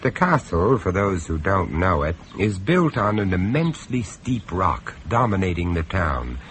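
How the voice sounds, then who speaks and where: A man's voice: deep voice, very animated, a little slow, a bit bright, exaggerated voice. An actor playing an urbane, sardonic horror star narrating his tale in a radio play. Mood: storytelling. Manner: sardonic, droll, polished. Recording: noisy radio drama recording.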